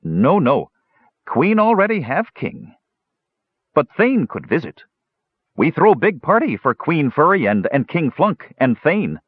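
Man doing a high simple-minded gully dwarf. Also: huge pitch swings, measured pace, slightly rough, no echo, narrow, tinny audio, full character voice. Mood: eager. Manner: broken childlike grammar. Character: simple-minded male gully dwarf, eager, broken speech.

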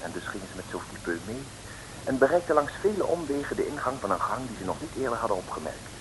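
An actor playing a droll storyteller, narrating an adventure serial for radio with mock-dramatic suspense. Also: male voice, lowish voice, sing-song, fast talker. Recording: faintly hissy old radio recording.

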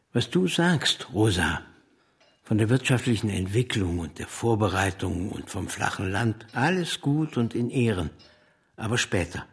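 A man's voice: mid-range voice, husky, full character voice. An actor playing an embattled male socialist revolutionary in a German radio drama, arguing in an impassioned, rhetorical way. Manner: rhetorical, declamatory.

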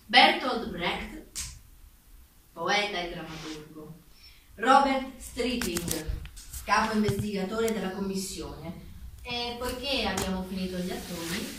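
A woman narrating a theatre piece from a script, solemn and declamatory, with deliberate phrasing. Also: medium pitch. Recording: distant mic, very echoey room, faint noise.

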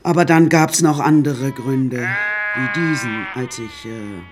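An actor playing a wry, rambling storyteller who recounts a troubled childhood in a radio drama monologue, with hesitant asides. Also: male voice, mid-range voice, slow pace, noisy background, close to an everyday voice. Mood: wry, reminiscing.

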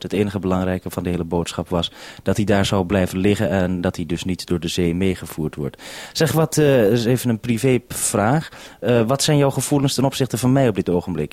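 A male radio interviewer with a slightly low voice in a dry, echo-free sound. He talks informally and off the cuff, explaining things and putting questions to his guest. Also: a little fast, darkish tone. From Netherlands. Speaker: radio programme maker, interviewer. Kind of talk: unscripted interview over radio link.

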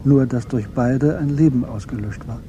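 A male narrator with a very dark, mellow voice at middling pitch. He reads literary prose for a radio drama, with a faint rumble behind him. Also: normal speed.

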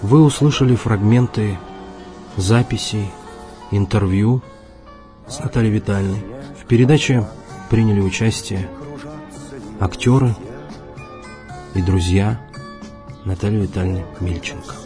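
A man presenting a radio programme, reading a scripted announcement formally in measured short phrases. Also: lowish voice. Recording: music behind the voice.

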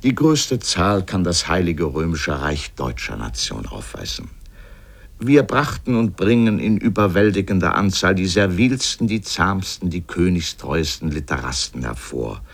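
Biting, learned essayist for radio drama; very low voice, rhetorical, grandly ironic delivery. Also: male voice, very animated, unhurried, vocal fry, full character voice. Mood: ironic, emphatic. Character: erudite, polemical male literary essayist with biting irony. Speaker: speaker in a literary radio essay. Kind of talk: scripted literary radio essay narration.

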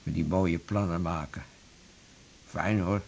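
A cheeky old boozer, gravelly and slow, teasing the young doctor. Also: male voice, deep voice, fairly even intonation, heavily acted. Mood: wry. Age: older. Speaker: patient. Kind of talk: 1980s radio drama acting.

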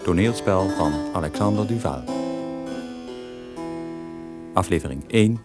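A man's voice: sits low, expressive, brisk. A man announcing a radio play's titles in a formal style. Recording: loud plucked-string music behind voice. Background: plucked string music.

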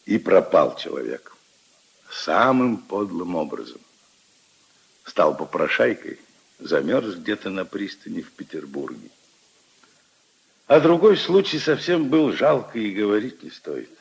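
A deep-voiced old grandfather in a 1950s radio play, slow and expressive. He tells his tales of love intimately and emphatically, wistful and full of conviction. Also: vocal fry, slightly rough, full character voice, poor audio. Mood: wistful, earnest. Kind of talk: 1950s radio drama acting.

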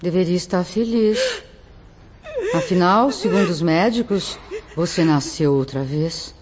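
A woman's voice: lowish voice, big pitch swings, a little slow. A woman playing a kindly hospital companion in a radio drama, consoling and encouraging. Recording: dull recording with background chatter.

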